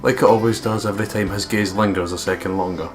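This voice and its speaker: Male let's play host, deep voice, reading story narration over guitar game music. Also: fairly even intonation, fast. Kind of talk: reading visual novel narration aloud.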